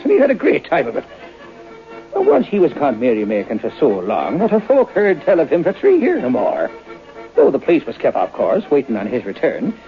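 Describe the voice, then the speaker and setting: An actor playing a folksy Irish storyteller spinning an eerie tale in old-time radio drama, with a theatrical lilt. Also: male voice, medium pitch, vocal fry. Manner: theatrical, lilting, relishing the tale. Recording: muffled old radio broadcast with music.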